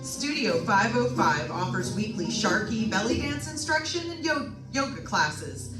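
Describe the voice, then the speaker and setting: A woman's voice: on the high side, lively intonation, measured pace, music in the background. A woman announcer delivering a scripted sponsor message in radio advertisement style. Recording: roomy, distant stage microphone pickup.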